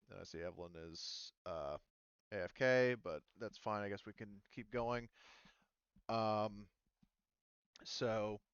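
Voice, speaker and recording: A man's voice: lowish voice, clean tone, no room sound, dull audio. A man acting as game master, casually improvising narration in an informal, mildly profane way.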